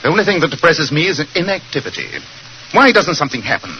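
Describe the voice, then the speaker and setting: A man's voice: fairly high pitch, very animated, fast talker, sharp tone. A man playing a restless, brilliant, imperious English detective with a British accent, speaking in a commanding, theatrical 1940s radio drama manner. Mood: restless impatience. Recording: muffled, noisy 1940s radio recording.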